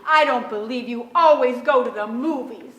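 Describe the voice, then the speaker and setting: Actress as high, bright, proud mother. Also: moderate pace, close to an everyday voice. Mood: indignant. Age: middle-aged. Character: proud, controlling, fanciful middle-aged mother. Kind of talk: theatrical stage acting. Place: theatre stage before an audience. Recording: echoey theatre stage.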